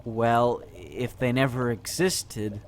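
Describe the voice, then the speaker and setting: Man playing a slow, halting, awkward teenage boy. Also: medium pitch, natural voice. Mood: baffled, skeptical. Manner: halting, stammering, hesitant. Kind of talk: comic dub dialogue. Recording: noticeable background noise.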